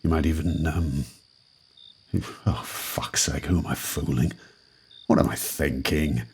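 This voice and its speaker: A man's voice: rumbling low, steady tone, a little slow, a little hiss or hum. An actor playing a nervous, desperate man in debt who stammers and pleads in an audio drama. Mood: brooding.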